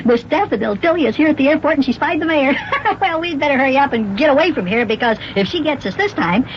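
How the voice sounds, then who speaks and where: A man's voice: very high-pitched, lively intonation, fast talker. A man voicing an eager little elf boy with sped-up, gee-whiz delivery in an old children's radio serial. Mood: eager, flustered. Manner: gee-whiz boyish exclamations. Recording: dull, very noisy old radio recording.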